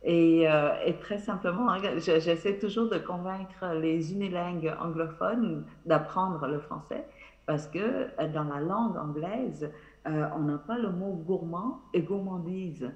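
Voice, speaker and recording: A woman whose first language is Vietnamese, speaking as an author on a live festival panel, often pausing with fillers and restarting her sentences. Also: fairly low pitch. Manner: hesitant, many fillers and restarts. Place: theatre stage. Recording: roomy, dull sound.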